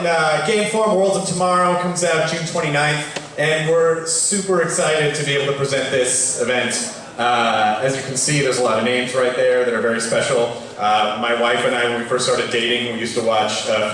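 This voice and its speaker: A man hosting a live event, addressing an audience as an excited showman-like announcer. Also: mid-range voice. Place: large echoey venue with live audience. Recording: echoey hall, distant mic, noisy crowd. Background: crowd noise and room ambience.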